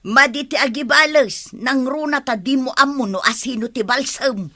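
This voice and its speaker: A woman's voice: high-pitched, normal speed, sharp tone. An actress playing a fed-up village wife who scolds her hot-tempered husband, in a radio comedy drama. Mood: exasperated. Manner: scolding, exasperated.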